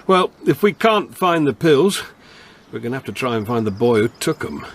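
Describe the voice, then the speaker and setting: A seasoned copper in middle age, husky and expressive. He is measured, wry and firm, with the easy authority of a veteran in a radio play. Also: medium pitch. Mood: easygoing. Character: seasoned, steady, kindly but firm veteran policeman. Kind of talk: radio drama acting with first-person narration.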